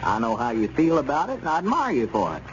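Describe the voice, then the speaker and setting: A man's voice: medium pitch, huge pitch swings, fast. An actor playing a righteous, insistent small-town man with a rural Southern US accent, folksy and urgent, in a 1930s radio serial. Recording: muffled, hissy 1930s radio recording.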